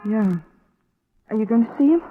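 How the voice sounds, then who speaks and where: Anxious wife in old radio detective show, halting delivery, dull muffled audio. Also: female voice, on the high side, animated. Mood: uneasy. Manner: hesitant, halting. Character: worried, embarrassed wife of a missing detective. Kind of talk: old-time radio drama acting.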